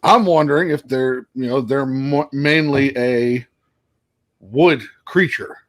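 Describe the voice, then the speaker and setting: A man co-hosting a casual podcast over a video call, earnestly laying out his theories as he thinks out loud. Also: medium pitch, takes it slowly. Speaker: podcast co-host.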